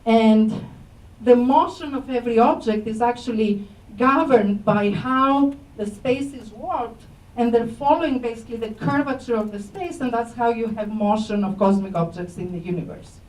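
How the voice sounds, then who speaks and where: A woman's voice: fairly high pitch, normal speed, a little mellow. Greek-accented woman lecturing in echoey room. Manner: friendly, engages audience with questions, many fillers. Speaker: astronomy professor. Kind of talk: public science talk. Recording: echoey room, voice from far off mic.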